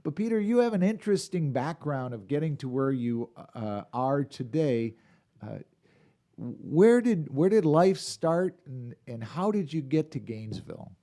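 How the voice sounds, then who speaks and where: A man's voice: fairly high pitch, very animated, measured pace, warm tone. A man hosting a live podcast interview from a podcast room in a restaurant, chatty and rambling with restarts. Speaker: podcast host.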